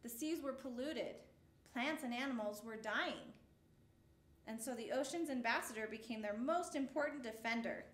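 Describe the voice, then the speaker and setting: A woman reading a picture book aloud as a storyteller for children, with measured, expressive phrasing. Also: on the high side, roomy sound.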